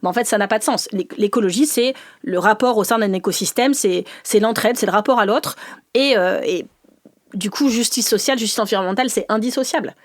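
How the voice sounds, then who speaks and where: A woman from the north of France, a politician guest in an interview, talking fast and animated with laughing asides and many restarts. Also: medium pitch, no room sound. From northern France, mining basin. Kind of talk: lively conversational interview.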